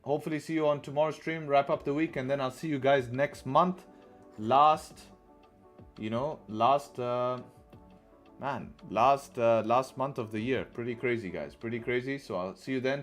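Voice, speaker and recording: Young man streaming with a headset. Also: medium pitch. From Dubai. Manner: relaxed, repeats phrases, says bro and man. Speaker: forex trading streamer. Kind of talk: casual live-stream commentary. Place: small room indoors.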